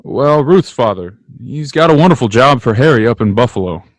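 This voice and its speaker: An actor playing an earnest, frustrated small-town young man in old-time radio style, folksy and halting. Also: medium pitch, animated, no room sound, natural voice. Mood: wry, resigned.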